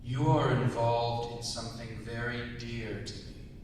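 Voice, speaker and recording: A young man playing a menacing, possessive sea god in a staged reading, speaking in formal, measured threats. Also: fairly even intonation, close to an everyday voice. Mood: cold menace. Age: young adult. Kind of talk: staged dramatic script reading. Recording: very echoey hall, distant mic, hiss and rumble.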